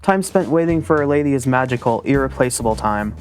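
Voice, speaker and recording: A dashing, secretive pigeon schoolboy from a dating-sim game, as a man reads him aloud. He speaks in a theatrical, gallant way, dropping French phrases, at a middling pitch. Faint music sits behind him. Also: animated, quick pace, smooth, close to an everyday voice. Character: suave male pigeon student, mysterious, dramatic. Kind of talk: visual novel read aloud.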